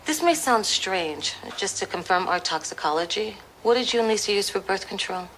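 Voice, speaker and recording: A woman's voice: mid-range voice, lively intonation, normal speed, fairly bright tone, slight background noise, lightly acted, rough recording. Actress as composed, probing woman detective. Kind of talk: audio drama acting.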